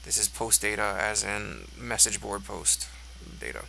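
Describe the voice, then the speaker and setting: A man's voice: on the low side, expressive, measured pace, vocal fry, bright, forceful tone, slight background noise, rough recording. A congested man thinking aloud as he walks through code on screen, musing and offhand. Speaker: code reviewer. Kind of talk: screen-recorded code walkthrough.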